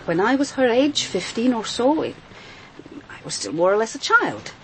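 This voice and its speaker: A woman's voice: a little high, wildly swooping pitch, moderate pace, fairly bright tone, slight background noise, poor audio. An actress playing an earnest, perceptive policewoman in a radio crime drama, thoughtful and a little hesitant.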